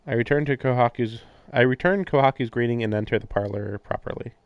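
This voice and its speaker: A man's voice: mid-range voice, quick pace, clear, resonant tone, no room sound, lo-fi sound. A man reading a visual novel's narration aloud on a stream, breaking into casual commentary.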